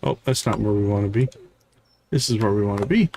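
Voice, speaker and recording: A man's voice: on the low side, a little fast, gravelly, a little mellow, a little hiss or hum. A man live streaming a video game, giving casual commentary as he thinks aloud and chats to viewers.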